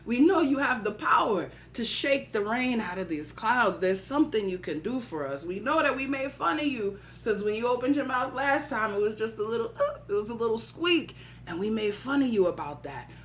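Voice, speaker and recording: Lady storyteller as a begging villager, humble, flattering, higher voice, bit of background noise. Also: moderate pace, natural voice. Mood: pleading. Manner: beseeching, repetitive flattery.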